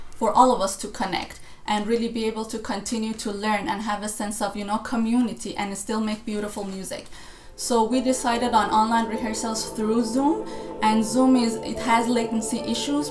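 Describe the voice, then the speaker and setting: A young woman originally from Iran, a choir director explaining her work in an earnest, measured way over a video call. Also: a little high, reverberant. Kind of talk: conversational interview.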